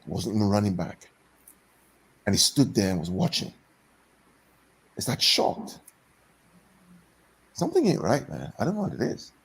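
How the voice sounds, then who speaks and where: Male pundit, low and husky, swooping intonation, blunt and opinionated. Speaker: panel guest. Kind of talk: casual football chat.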